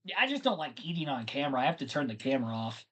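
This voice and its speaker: A man's voice: medium pitch, sing-song, rapid-fire, a bit bright. A man hosting a live race stream, chatting casually and rambling to his viewers between race updates. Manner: chatty, rambling, talks to chat.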